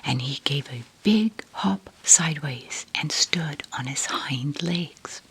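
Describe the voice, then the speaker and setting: Asmr lady whispering a kids bedtime story, really slow and breathy. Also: low voice, lively intonation. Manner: soft, unhurried whispering.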